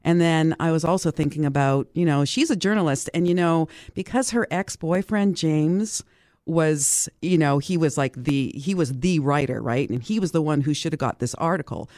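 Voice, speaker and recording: A woman's voice: low voice, normal speed, darkish tone, dry recording, clean studio sound. A woman in a casual book discussion, talking as a club participant and acting out reactions as she retells things.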